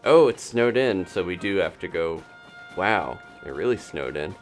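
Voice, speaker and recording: A man's voice: low-pitched, animated, unhurried, faint music behind. A man giving casual live gameplay commentary, playfully exclaiming and talking to himself as he plays. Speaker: video game streamer and host.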